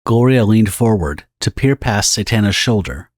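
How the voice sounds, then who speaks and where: A man reading scripted, dramatic story narration for an audio drama in a husky voice. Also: on the low side, lively intonation, moderate pace, a little mellow, no echo, clean studio sound.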